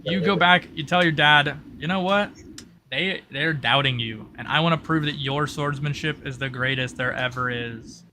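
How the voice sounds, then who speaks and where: Bright-voiced man running a tabletop game. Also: fairly high pitch, normal speed, slight background noise. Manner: casual, informal storytelling. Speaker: game master. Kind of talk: narrating a tabletop roleplay game.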